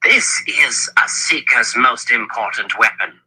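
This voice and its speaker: A man's voice: mid-range voice, a little slow, bright, forceful tone, slightly rough, voice pushed up, exaggerated voice, low-quality recording. A man voicing an old wizard mentor in an audiobook, grave and fatherly, admonishing with emphasis and affection. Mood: forceful.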